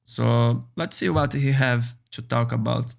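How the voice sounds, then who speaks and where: A man whose first language is Brazilian Portuguese, speaking English earnestly and haltingly as a streamer reacting to a video. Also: mid-range voice, steady tone, creaky. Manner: earnest, halting, many restarts.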